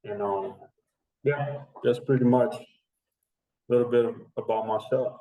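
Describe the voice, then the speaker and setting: A man's voice: medium pitch, unhurried, narrow, tinny audio. A man in his thirties from the Dominican Republic, a guest talking casually and rambling, with lots of fillers and restarts. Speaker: strength and conditioning coach, guest. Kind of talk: casual interview chat. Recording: echoey, distant remote recording.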